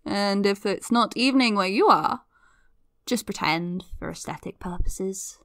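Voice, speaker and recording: Brief: a curious podcaster, mid-pitch, chatty and teasing, very dry close sound. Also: female voice, measured pace, a bit bright, dry recording. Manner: chatty, playful, dramatic asides. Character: curious young woman podcaster, chatty and nosy. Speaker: podcast host. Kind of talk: audio drama, chatty podcast monologue.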